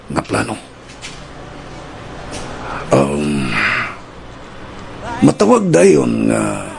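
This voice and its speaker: A man's voice: on the low side, gravelly, slight background noise, heavily acted. A man with a Cebuano Filipino accent playing a domineering, suspicious congressman in a radio action drama. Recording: radio drama with music bed.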